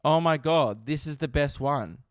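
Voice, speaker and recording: Crisp, dry-miked Australian comedian riffing. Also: male voice, on the high side, measured pace, no room sound. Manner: riffing, swears freely, laughs at his own jokes. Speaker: comedian podcast host. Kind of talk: casual solo podcast chat.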